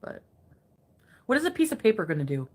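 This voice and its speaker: A woman's voice: fairly high pitch, sing-song, fairly quick, darkish tone. A woman talking in a casual livestream clip, emotional and defiant but hesitant. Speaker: streamer in a played clip.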